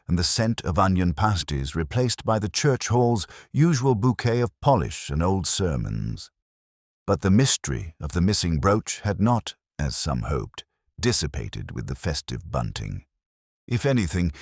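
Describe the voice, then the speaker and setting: A man's voice: deep voice, no echo, clean studio sound. A man narrating a scripted mystery story.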